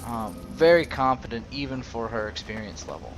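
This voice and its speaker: A man giving role-play commentary on a video game, musing and halting as he thinks aloud. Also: medium pitch, lively intonation, poor audio. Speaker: gaming video host. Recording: game music behind the voice. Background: video game music and effects.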